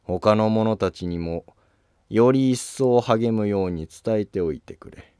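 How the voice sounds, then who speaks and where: A man's voice: on the low side, measured pace, darkish tone, clear, resonant tone, no room sound, clean studio sound, natural voice. A man playing a stately, commanding emperor in a historical drama, speaking formally and with authority. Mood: regal, commanding. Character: stately, commanding emperor rewarding his subjects.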